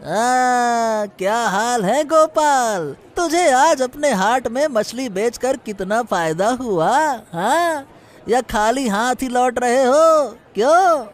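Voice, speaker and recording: A man's voice: extremely high pitch, lively intonation, takes it slowly, full character voice. A man voicing a boastful, scheming village fish seller in a cartoon story, with a taunting manner. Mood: mocking. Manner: mocking, taunting.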